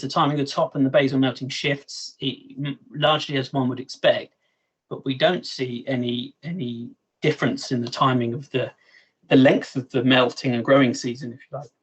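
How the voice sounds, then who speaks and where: A man giving a scientific presentation in an online meeting, halting and often repeating words as he explains. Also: medium pitch, slightly rough, poor audio. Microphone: online meeting microphone.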